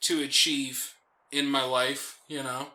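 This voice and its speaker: A man's voice: medium pitch, little pitch movement, slow pace, pushed and bright. A man chatting casually as co-host of a video game playthrough. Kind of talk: casual chat over gameplay.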